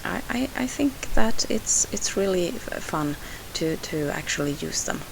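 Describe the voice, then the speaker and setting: A woman's voice: fairly low pitch, lively intonation, unhurried, creaky. A Swedish woman with a Swedish accent, narrating a scrapbooking process in a hesitant voice-over full of "uh" fillers and restarts. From Sweden. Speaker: scrapbooking video host. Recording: hissy home voice-over.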